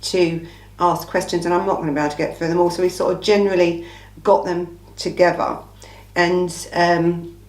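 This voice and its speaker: A woman from England hosting a casual true crime Q&A, her voice croaky from a cold, talking in a blunt, chatty, opinionated way. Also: fairly low pitch, level tone, moderate pace, darkish tone, reverberant, recorded from a distance, low-quality recording. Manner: chatty, blunt, opinionated, says "listen" often. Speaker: true crime channel host. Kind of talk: casual Q&A monologue.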